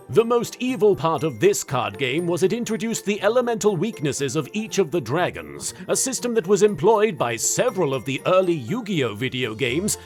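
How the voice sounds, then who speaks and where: A gleefully murderous anime pharaoh spirit, pompous and smug in a comedic monologue. The voice sits a little high and leaps dramatically up and down, delivering everything with mock-serious theatrical relish. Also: male voice. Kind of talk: scripted comedic monologue in character.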